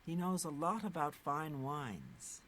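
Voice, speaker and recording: A woman's voice: sits low, big pitch swings, slow, fairly bright tone, exaggerated voice. A woman playing a chatty, eccentric townswoman in a fantasy video game. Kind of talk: video game character dialogue. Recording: game audio with background noise.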